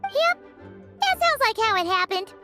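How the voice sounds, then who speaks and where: A woman voicing a small, excitable, cheeky female fairy companion with a childlike voice in a video game. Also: a little slow, heavily acted. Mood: curious. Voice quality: squeaky childlike voice. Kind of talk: animated video game acting. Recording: music behind the voice.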